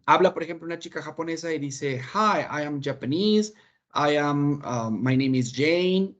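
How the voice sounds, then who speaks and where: A man's voice: mid-range voice, highs cut off. A man with a Mexican Spanish accent teaching an online English class, explaining patiently and often checking understanding. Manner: patient, repeats points with frequent "¿ok?" checks.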